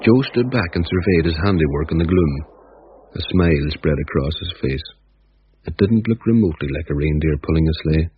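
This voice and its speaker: Deep husky male radio narrator. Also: lively intonation. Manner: measured, reflective storytelling. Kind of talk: scripted radio drama narration. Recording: husky voice on dull radio recording.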